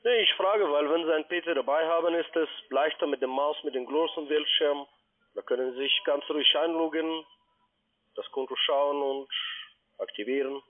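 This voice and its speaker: A man making a polite phone sales pitch in non-native German with grammar slips, as a call center agent. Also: fairly even intonation, normal speed. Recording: band-limited telephone line.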